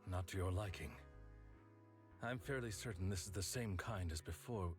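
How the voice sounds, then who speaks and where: A very deep-voiced gentleman butler in a video game voiced by a man, formal and restrained. He speaks in measured phrases with quiet care beneath the stoicism. Soft music sits behind the voice. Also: natural voice. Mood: stern, caring. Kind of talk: scripted game story dialogue.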